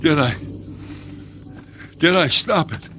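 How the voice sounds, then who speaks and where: Folksy blind farmer in a radio thriller, protective, higher voice, loud music behind him. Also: male voice, lively intonation, slow, exaggerated voice. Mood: determined. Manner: folksy, rustic, plainspoken. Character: blind, protective male alien farmer, devoted husband. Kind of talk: suspense radio drama acting.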